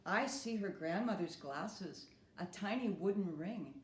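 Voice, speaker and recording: A woman reading a children's storybook aloud as a storyteller, with deliberate, expressive phrasing. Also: mid-range voice, a little hiss or hum. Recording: roomy, echoing room.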